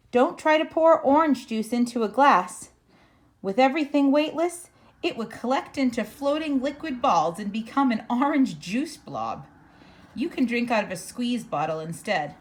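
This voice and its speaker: A woman reading a children's picture book aloud in a measured, expressive storytelling manner. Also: on the high side. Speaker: storybook reader.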